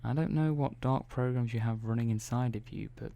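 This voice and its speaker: A man playing a calm, methodical robot engineer in an ASMR roleplay, speaking softly and slowly with hesitant pauses. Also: mid-range voice, creaky, lightly acted. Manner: soft-spoken, slow and hesitant, many pauses and "well"s.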